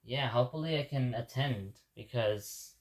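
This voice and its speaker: A man's voice: mid-range voice, normal speed. A man from Ecuador, a native Spanish speaker, gives a casual spoken reflection to his viewers. He rambles, restarts and repeats words, and keeps addressing them as "guys". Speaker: vlogger.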